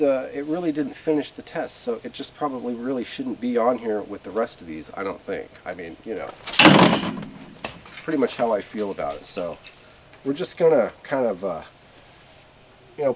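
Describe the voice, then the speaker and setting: A man's voice: medium pitch, darkish tone. Middle-aged American man over background noise. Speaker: video host reviewing radio gear. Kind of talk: casual unscripted product review commentary. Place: in front of a closed garage door. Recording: clear background noise, camera at a distance.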